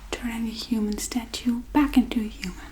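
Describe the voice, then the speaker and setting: A woman playing a forgetful, darkly playful witch in a soft-spoken, whispery ASMR role-play, murmuring gently and absent-mindedly. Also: fairly high pitch, fairly even intonation, normal speed, slight background noise, close to an everyday voice. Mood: reading, muttering. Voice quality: breathy, whispery.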